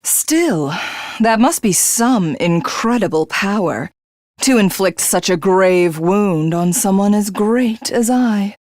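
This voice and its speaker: A woman's voice: medium pitch, lively intonation, slow pace, a little mellow, no room sound. An actress playing an arrogant, power-hungry alien warrior woman in a video game, with a haughty, grandiose and theatrical delivery. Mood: gloating, calculating. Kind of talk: video game character voice acting.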